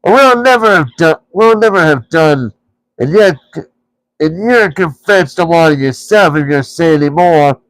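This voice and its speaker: A man putting on bickering high-pitched trolls. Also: expressive, unhurried, fairly bright tone, dry recording, poor audio. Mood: squabbling. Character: quarrelsome brutish male trolls, bickering.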